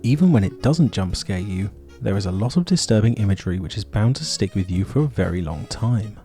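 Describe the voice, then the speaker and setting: A man's voice: on the low side, animated, a little fast, warm tone, soft background music. A man hosting and narrating a scripted video essay.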